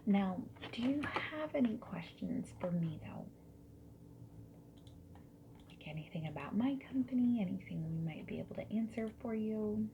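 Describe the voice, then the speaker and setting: A woman speaking softly and breathily, often dropping to a whisper, in a gentle, friendly ASMR survey-interviewer roleplay. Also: mid-range voice, animated, vocal fry. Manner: gentle, friendly, unhurried customer-service politeness. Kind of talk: soft-spoken ASMR roleplay. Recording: lo-fi, noisy with keyboard typing and clicks. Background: mechanical keyboard typing and clicks.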